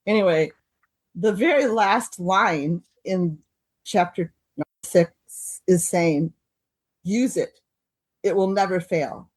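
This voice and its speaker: A woman co-hosting a recovery podcast, talking thoughtfully and reading short passages aloud with slow pauses. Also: fairly low pitch, no room sound. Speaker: podcast co-host. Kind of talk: reflective recovery group talk.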